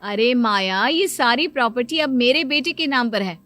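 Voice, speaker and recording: A woman voicing a scheming, possessive, sharp-tongued mother in a cartoon moral story. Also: a little high, lively intonation, moderate pace, over music, natural voice. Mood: bossy. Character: scheming, possessive elder sister-in-law and mother.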